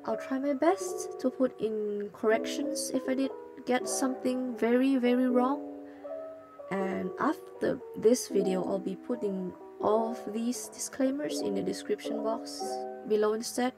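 A woman's voice: fairly high pitch, a little slow. Woman, non-native English speaker, let's play host, self-conscious, slightly awkward, music playing under the voice. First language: not English. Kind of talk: casual gameplay commentary and translation.

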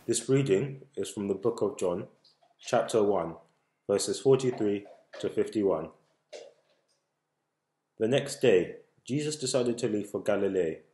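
A man's voice: lowish voice, animated, unhurried, long pauses, dark tone. A young man reading a Bible passage aloud, recorded on a device at arm's length in a room at home. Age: young adult. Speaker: scripture reader. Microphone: laptop or phone at arm's length. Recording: echoey room on video selfie.

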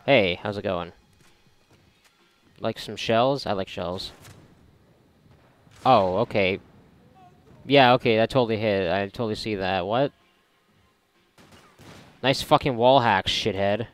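Low-voiced, sarcastic man commentating on a game. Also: slow, pauses often. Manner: sarcastic, foul-mouthed, rambling. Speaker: video game player commentating. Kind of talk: casual gameplay commentary. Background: video game music and sound.

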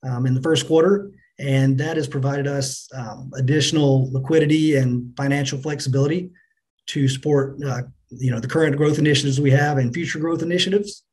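A middle-aged man answering business interview questions as a company finance chief, in a measured, businesslike manner. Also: medium pitch, fairly even intonation, a little mellow. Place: indoors on a remote video call.

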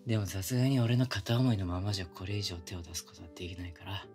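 A man's voice: low-pitched, fairly even intonation, quick pace, a little mellow, natural voice. A man playing a pushy, affectionate younger male coworker in love, murmuring teasingly in an intimate ASMR boyfriend roleplay, often close to a whisper. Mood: teasing, tender. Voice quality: breathy, often dropping to a whisper. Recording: close binaural recording with background music.

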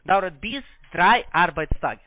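A man who is not a native German speaker, talking haltingly in accented, broken German on a sales call, evasive in manner. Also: sits high, animated, dry recording. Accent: foreign accent in German. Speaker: call-centre scammer posing as trading platform agent. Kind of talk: phone sales conversation. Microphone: telephone. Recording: muffled tinny phone line.

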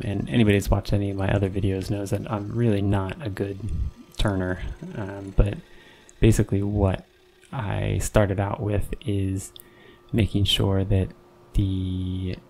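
Low gravelly man narrating his build. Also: slight background noise. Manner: relaxed, unhurried, many ums and restarts. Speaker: woodworking channel host. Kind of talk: casual voice-over narration of a build. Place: home woodshop.

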